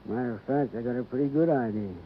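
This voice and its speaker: A man's voice: medium pitch, natural voice. A man acting as a stubborn Western country sheriff in a 1950s radio drama, with an American accent and a folksy, confident manner. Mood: folksy, cautionary. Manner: folksy, rustic, unhurried confidence. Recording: tinny, faintly noisy vintage radio.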